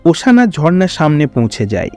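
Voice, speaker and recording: A man's voice: fairly high pitch, very animated, moderate pace, darkish tone, faint music behind. A man narrating a scripted fairy tale for a cartoon as storyteller.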